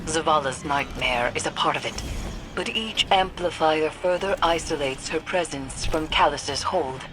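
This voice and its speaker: Deep cryptic occult guide woman, game cutscene, solemn and ominous, loud music behind. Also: a little slow, rough recording. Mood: grave warning. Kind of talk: dramatic video game acting.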